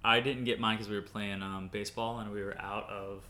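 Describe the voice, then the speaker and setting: A young man hosting a casual podcast chat, rambling and laughing often, drifting into quieter asides. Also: little pitch movement, brisk. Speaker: podcast host. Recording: faint background noise and rumble.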